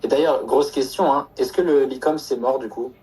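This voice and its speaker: A man with a slightly rough, husky voice at an average pitch. He chats casually and haltingly, dropping slang and joking as he goes. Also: dark tone, distant mic, rough recording. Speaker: e-commerce student. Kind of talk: casual chat.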